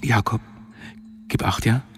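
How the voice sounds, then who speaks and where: A man's voice: measured pace, in a whisper, close to an everyday voice. An actor playing a distraught headmaster in a radio drama, giving his pupils urgent, clipped, pleading commands. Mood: anxious, urgent. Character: distraught male headmaster anxiously ordering his pupils about.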